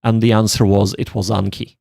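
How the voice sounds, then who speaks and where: A man's voice: on the low side, flat delivery, a little fast, vocal fry, warm tone, no room sound, clean studio sound. A man chatting casually on a study livestream, thinking aloud and reflecting on himself in a rambling way. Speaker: streamer learning Japanese. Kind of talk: casual livestream chat with study and game reading.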